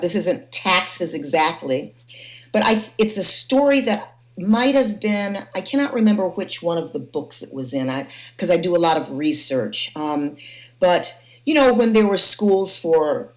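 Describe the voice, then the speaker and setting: Lower-pitched woman moderating a discussion. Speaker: host and moderator of a panel discussion. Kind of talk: conversational moderating.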